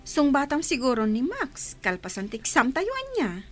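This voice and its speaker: A woman acting an excitable, inquisitive young student in a radio drama. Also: high voice, normal speed, soft background music, heavily acted. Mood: teasing, curious. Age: young adult. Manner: animated, inquisitive. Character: excitable, nosy young female student friend.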